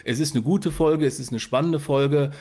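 A man's voice: on the high side, normal speed, warm tone. A man hosting a casual interview, feeling his way through his sentences with self-corrections. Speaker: podcast host and interviewer.

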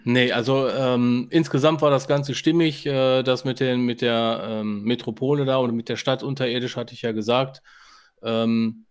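A man's voice: medium pitch, fairly even intonation, normal speed, smooth. A man co-hosting a podcast, giving his opinions in casual chat with a hesitant, searching delivery. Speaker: podcast co-host.

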